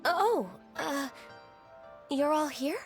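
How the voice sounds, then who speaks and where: A gentle, timid young woman in a video game. Her high voice is polite and apologetic, often hesitating. Soft music sits faintly behind it. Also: animated, extremely slow, full character voice. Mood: hesitant. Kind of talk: game voice acting.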